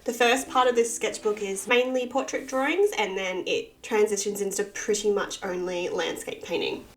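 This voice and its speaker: A woman, husky from a cold with a bit of vocal fry, chatting casually and self-deprecatingly through a sketchbook tour. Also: mid-range voice, fairly even intonation, moderate pace. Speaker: artist and channel host.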